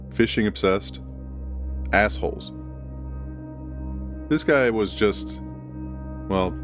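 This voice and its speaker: Male horror narrator, very low register, deliberate first-person storytelling, clear music bed.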